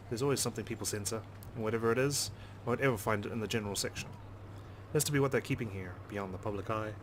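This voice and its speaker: A man reading visual novel narration aloud as a let's player, in an expressive storytelling style. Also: on the low side, a little hiss or hum.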